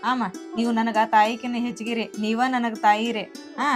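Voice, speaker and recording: A woman's voice: on the high side, level tone, brisk, soft background music, natural voice. A young woman with a North Karnataka Kannada accent, playing a respectful, devoted daughter-in-law who speaks politely to her elders. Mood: tender. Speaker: actor. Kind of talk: village family drama acting.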